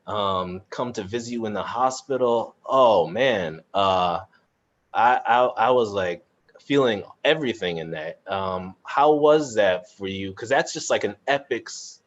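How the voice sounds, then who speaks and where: A man's voice: fairly low pitch, lively intonation, measured pace. A man chatting casually as he moderates a panel, reflective and often searching for words, heard over a video call. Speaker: actor and moderator of a cast discussion. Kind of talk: casual conversational panel chat. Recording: dull, lo-fi video call sound.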